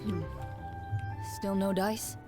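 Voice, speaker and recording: A woman playing a composed, earnest young woman in a video game, speaking in a measured, formal, methodical way. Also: mid-range voice, level tone, lightly acted. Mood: serious. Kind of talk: game voice acting. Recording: music behind the voice.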